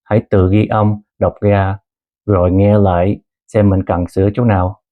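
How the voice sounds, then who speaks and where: A man in his thirties who grew up in Canada, narrating a personal story as a language teacher in a calm, earnest way and mixing two languages. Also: on the low side, moderate pace, phone-quality sound. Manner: calm, earnest storytelling, switching between Vietnamese and English. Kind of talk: scripted lesson narration.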